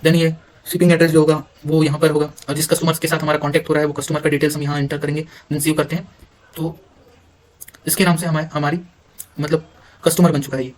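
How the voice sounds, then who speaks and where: An Indian man giving an informal software walkthrough, halting at times and mixing English terms into his speech. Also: on the high side, flat delivery, rough recording. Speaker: software demonstrator. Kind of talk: informal screen-recorded tutorial walkthrough.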